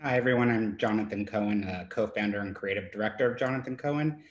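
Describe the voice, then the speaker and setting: A man's voice: on the low side, fast, highs cut off. A man from San Diego, a designer on a virtual panel, speaking reflectively and personally. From USA (San Diego).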